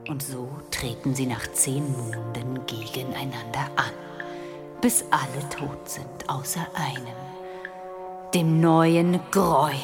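Low, bitter old crone. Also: female voice. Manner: foreboding, scornful, at times breathy. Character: bitter old crone, grim keeper of dark lore. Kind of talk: German audio drama acting.

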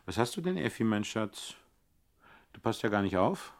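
An actor playing a cocky, dismissive gangster in a 1960s radio crime comedy, with bossy, theatrical banter. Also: male voice, fairly low pitch, sing-song, normal speed. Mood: feigned innocence. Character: cocky, dismissive male pickpocket turned gangster boss.

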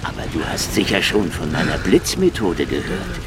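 A man's voice: low voice, huge pitch swings, moderate pace, noisy background. A man playing a hardboiled, cocky Soviet secret police investigator in a radio crime drama, with a sardonic, menacing, taunting manner. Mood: taunting menace. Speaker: lead actor and first-person narrator.

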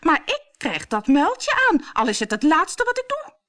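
A woman playing a bossy, envious young stepsister in a fairy tale, snapping and loud. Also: very high-pitched, huge pitch swings, measured pace, pitched above the performer's own voice, heavily acted. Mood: scornful, forceful. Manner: snapping, shouting.

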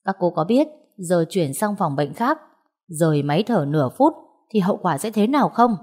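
A steady, protective young husband, voiced by a female narrator in an audio story. He speaks in a fairly low, measured way, reassuring and quietly stern. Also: breathy edge.

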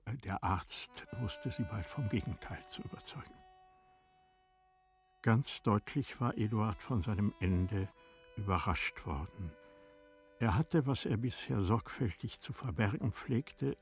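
Male narrator for classic literature: very husky, slow and solemn, measured phrasing. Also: fairly low pitch, darkish tone, no room sound.

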